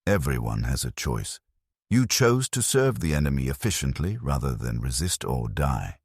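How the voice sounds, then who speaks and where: A man plays a cold tech priest interrogator from a dark sci-fi audio story, with an extremely deep, husky voice. He pronounces judgment formally and sternly, his pitch moving with zealous weight. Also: very animated, normal speed, dry recording, clean studio sound, full character voice. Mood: stern, condemning.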